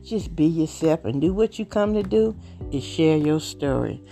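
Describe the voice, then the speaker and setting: A woman's voice: low voice, expressive, moderate pace, creaky, soft background music. A woman in her late fifties with a Southern US accent, hosting a podcast and talking about herself in an earnest, rambling way. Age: 50s. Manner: earnest, rambling, warm-hearted testimony. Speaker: podcast host. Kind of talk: casual talk.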